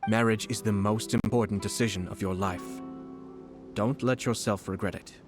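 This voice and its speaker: Casting: man playing a diplomatic game nobleman, lowish voice, formal and measured, a touch sly, music underneath. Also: fairly even intonation, natural voice. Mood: sincere. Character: composed, courteous nobleman official, subtly sly. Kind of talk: video game voice acting, scripted dialogue.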